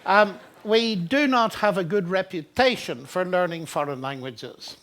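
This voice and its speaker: A man's voice: high voice, measured pace, fairly bright tone. An older man from Northern Ireland with a Northern Irish accent, giving a public lecture with genial, self-deprecating humor. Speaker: guest lecturer. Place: hotel lecture hall with a large audience.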